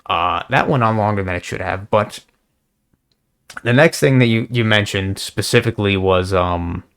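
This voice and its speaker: A young man with a slightly hoarse voice, rambling casually in a monologue, full of fillers, restarts and swearing. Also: fairly low pitch, a bit bright. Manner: halting, many fillers and restarts; casual and profane. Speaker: video response creator.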